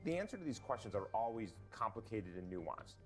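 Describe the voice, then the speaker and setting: A man talks quickly and earnestly in an interview clip, laying out his point. Faint music sits beneath his voice. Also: on the low side, big pitch swings.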